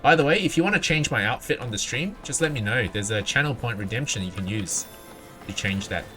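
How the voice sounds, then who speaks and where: A man's voice: medium pitch, animated, a little fast, pushed and bright. A man doing casual, playful live video game commentary, talking to himself and his viewers. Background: video game music.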